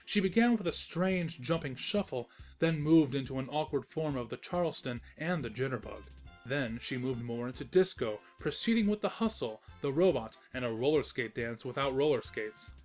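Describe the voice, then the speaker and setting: A man playing a snarky, defensive invisible narrator of a comic pony story, reading in a wry, expressive storytelling manner. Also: mid-range voice, moderate pace, faint music behind, lightly acted. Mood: narrating. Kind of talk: scripted narration of comic fan fiction.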